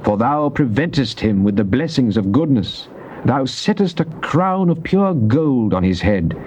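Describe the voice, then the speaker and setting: An actor playing a devout psalmist king, reciting scripture in a solemn, declamatory, deliberate way. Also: medium pitch, sing-song, a little mellow. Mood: solemn, exalted. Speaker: actor reading Psalms in a dramatized Bible recording. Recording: muffled old cassette tape with faint noise.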